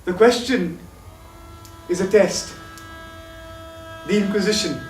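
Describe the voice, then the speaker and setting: A man's voice: high voice, slow pace, a little hiss or hum. An actor playing a heartsick man who broods over a lost love, speaking reflectively on a live stage. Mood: brooding. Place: theatre stage. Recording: roomy stage from a distance, music.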